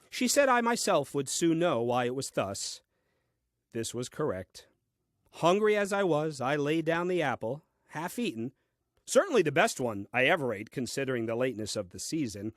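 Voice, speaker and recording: A man's voice: on the high side, a bit bright, dry recording. An actor playing a grumbling, self-important first man reading his diary in a comic audio play, with dry, fussy delivery.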